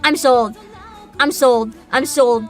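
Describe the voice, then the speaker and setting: A young woman hosting a livestream, chatting casually with an excitable, giggly, informal manner. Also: a little high, slow pace. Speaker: livestream host, vtuber. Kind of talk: casual livestream chat and reactions. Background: background music.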